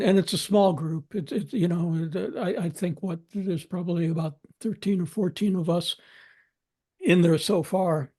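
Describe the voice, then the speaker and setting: A man giving an informal update on an online meeting in a halting, hesitant way. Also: sits high, mellow. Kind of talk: informal spoken report. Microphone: teleconference connection.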